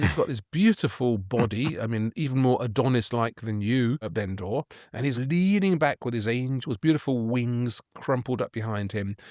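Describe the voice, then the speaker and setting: A man's voice: medium pitch, a little mellow, no echo, studio quality. Playful man telling art history. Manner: enthusiastic, playful storyteller asking questions. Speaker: podcast co-host. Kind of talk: casual art history chat.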